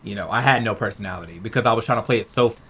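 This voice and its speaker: A man's voice: on the low side, racing, fairly bright tone, breathy edge. A man co-hosting a podcast, chatting casually and emphatically in slangy speech, often repeating his phrases. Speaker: podcast co-host. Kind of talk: casual chat.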